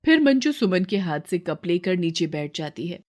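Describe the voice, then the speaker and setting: A woman's voice: lowish voice, very animated, normal speed, a little mellow. A woman narrator reading a scripted moral story.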